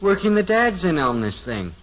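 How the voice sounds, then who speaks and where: A man's voice: high-pitched, sing-song, normal speed, a bit bright, exaggerated voice. An actor playing an eager, scheming teenage son in a 1950s radio sitcom, earnest and breezy, fumbling his words. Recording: dull lo-fi old radio transcription.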